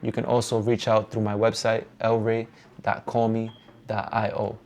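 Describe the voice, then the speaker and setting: Young Dominican guy, low steady voice, casual and upbeat, touring his home studio. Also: moderate pace, vocal fry. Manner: relaxed, upbeat and encouraging, slangy. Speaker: musician giving a studio tour. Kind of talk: casual vlog walkthrough. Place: home room turned music studio.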